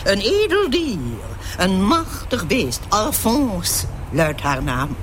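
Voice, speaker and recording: A woman's voice: medium pitch, big pitch swings, slow. A woman playing an eccentric, haughty old lady taxi passenger in an absurdist radio comedy. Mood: proud, chatty. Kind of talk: absurdist comic radio drama acting. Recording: noisy vehicle ambience behind the voice.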